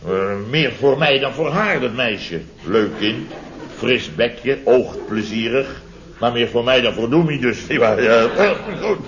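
A man's voice: mid-range voice, expressive, slow, background noise. An actor playing a boastful, indignant contractor in his fifties in a radio comedy, repeating himself and exclaiming self-importantly. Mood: boastful, blustering. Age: 50s. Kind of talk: 1970s radio comedy acting. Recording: dull vintage radio recording.

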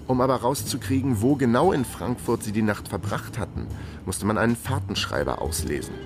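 A man's voice: fairly low pitch, animated, a little fast, music in the background. An actor playing a world-weary investigator, narrating his case drily in a radio crime drama. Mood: dry, factual. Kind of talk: scripted first-person radio drama narration.

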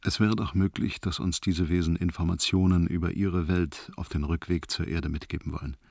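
An actor playing a sombre, resigned male astronaut in a radio play, with a gravelly, husky voice and a measured delivery. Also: sits low, fairly even intonation, mellow, dry recording, studio quality. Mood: sombre, reflective. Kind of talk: German radio drama, log-entry monologue.

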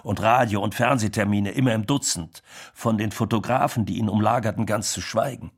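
Radio play narrator: a reminiscing music manager, lowish register, factual delivery. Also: male voice, brisk. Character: male music manager reminiscing about a singer's rise.

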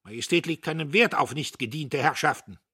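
A man voicing a gruff, bullying retired army major who barks and thunders. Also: on the high side, fairly quick, sharp tone, no echo, clean studio sound, voice pushed up. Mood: angry. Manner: barking, thundering.

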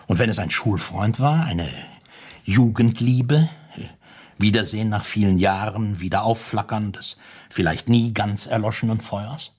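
Radio-play detective: low, husky, circumspect, over-polite and hesitant. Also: male voice, measured pace, a little mellow. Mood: musing, circumspect. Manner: stiffly polite, hesitant, over-formal phrasing. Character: formal, circumspect male private detective. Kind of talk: German radio play acting.

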